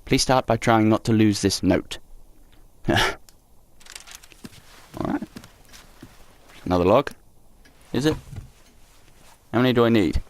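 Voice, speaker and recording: A man's voice: fairly low pitch, slow, pauses often. Sarcastic young man playing a horror game. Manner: sarcastic, swears, mutters to the game. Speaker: let's play host. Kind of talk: casual gameplay commentary, reading notes aloud. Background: faint game music.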